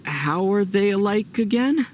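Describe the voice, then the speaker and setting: A man's voice: sits high, lively intonation, a little slow, background noise. A young man playing an easygoing, wry traveler hero in a video game, with a dry conversational manner. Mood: debating. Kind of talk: video game character dialogue.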